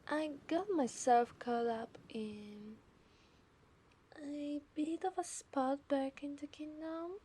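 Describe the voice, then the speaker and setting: A woman with an Italian accent voicing a coy, possessive mermaid in a soft, breathy ASMR roleplay. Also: high voice, slight background noise. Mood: sweetly teasing. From Italy. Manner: coy, teasing, breathy murmurs. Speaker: ASMR voice actress.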